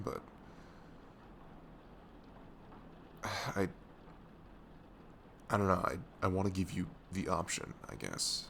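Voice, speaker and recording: Casting: male actor for goofy, lovestruck best friend in romantic ASMR audio; velvety, very deep voice, widely varied intonation, earnest delivery. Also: creaky, slight background noise, full character voice. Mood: nervous, earnest. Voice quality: soft, velvety. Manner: hesitant, halting, earnest.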